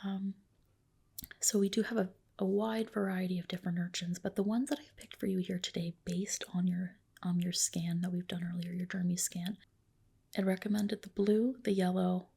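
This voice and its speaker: Female ASMR performer, breathy whisper with a mellow tone, reassuring clinic-roleplay delivery. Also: lowish voice, steady tone, normal speed. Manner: soothing, reassuring clinician addressing a patient.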